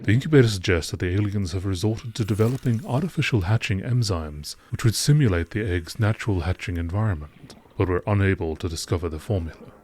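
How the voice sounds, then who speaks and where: A man reading scripted narration in a nature documentary style, in a measured, formal manner. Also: sits low, darkish tone, slight background noise. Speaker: narrator reading game text.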